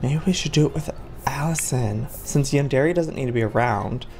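A man in his twenties giving playful gameplay commentary, excitable, with exaggerated reactions. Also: mid-range voice, normal speed, faint music behind. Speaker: gaming video host.

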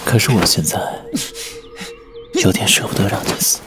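A man acting a calm, amused captor in a radio drama, softly taunting with faint menace. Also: fairly low pitch, normal speed, music in the background, lightly acted. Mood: cool menace.

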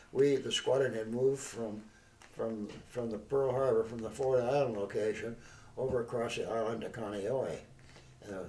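Elderly male interviewee, halting storyteller, distant mic in a roomy space. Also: medium pitch, mellow, slight background noise. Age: older. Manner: rambling, halting recollection with many restarts. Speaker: veteran interviewee. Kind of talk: oral history interview.

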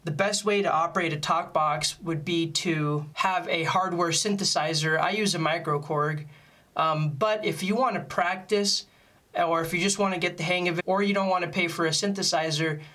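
A man presents a casual step-by-step tutorial. His voice sits a little high for a man and has a bright, forward edge. He explains each point plainly, as an informal instructor would. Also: steady tone, normal speed. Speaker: music gear tutorial presenter.

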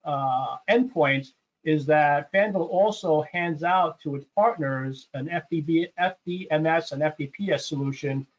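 A man gives an informal slide presentation as a sales presenter. His voice sits a little high for a male speaker. He explains things conversationally, circling back over phrases, and the webinar audio is somewhat dull and muffled. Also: measured pace.

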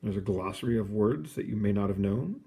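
A man reading a children's book aloud in an expressive, explanatory way, with casual asides. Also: lowish voice, a little fast, vocal fry. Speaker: picture book reader.

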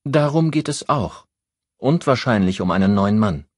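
An older grandmother acted out by a man, frank and unruffled in an audiobook novel. The voice is lifted in pitch and a little husky, with matter-of-fact, lively emphasis and teasing bite. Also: normal speed, dry recording, studio quality. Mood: calm, teasing.

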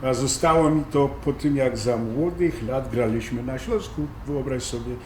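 A man's voice: mid-range voice, moderate pace, darkish tone, close to an everyday voice. A man playing an easygoing, food-loving ageing musician, chatty and folksy, in an audio drama. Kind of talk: natural audio drama dialogue. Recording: echoey room with background noise.

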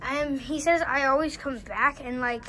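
A woman's voice: high-pitched, steady tone, normal speed, pushed and bright, slight background noise. A female performer voicing a scrappy, homeless junkyard animatronic with a casual, rambling way of talking in a toy drama. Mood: forlorn, casual. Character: scrappy, down-on-its-luck junkyard animatronic looking for a home.

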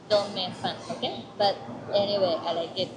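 Playful soft-spoken woman in a noisy mall. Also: medium pitch. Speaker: vlog companion. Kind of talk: casual chat. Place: busy shopping mall.

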